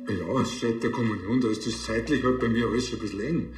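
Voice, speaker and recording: A man's voice: medium pitch, fairly quick, a little hiss or hum, natural voice. An actor playing an easygoing, evasive middle-aged country policeman in a crime comedy, speaking with a Bavarian accent. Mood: defensive.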